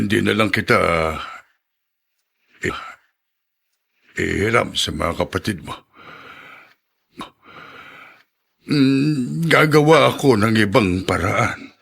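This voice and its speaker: A man's voice: lowish voice, vocal fry, a bit bright, breathy edge, exaggerated voice. A man playing a caring, hesitant yet insistent elderly Filipino father in a radio drama. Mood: insistent, resigned. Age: older.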